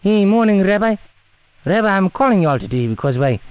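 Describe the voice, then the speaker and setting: Man, South African Indian English accent, slightly high voice, cheeky slangy prank caller, rambling, muffled phone audio. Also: sing-song, brisk, very noisy. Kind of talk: comic prank phone call in slangy chat. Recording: dull lo-fi phone call audio.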